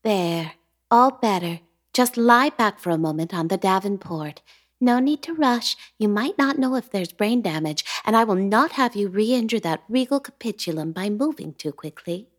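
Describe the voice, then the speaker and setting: A woman's voice: mid-range voice, lively intonation, normal speed, natural voice. An actress in a horror comedy radio play, playing a strange woman who turns into a bellowing pirate captain. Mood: soothing. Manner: soothing, then roaring pirate bluster. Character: odd, sinister woman turned wild female pirate captain.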